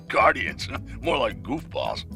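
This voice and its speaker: A man voicing an excitable, sardonic, wisecracking commentator in a cartoon video game, wry and emphatic. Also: fairly low pitch, slow pace, vocal fry, faint music behind, exaggerated voice.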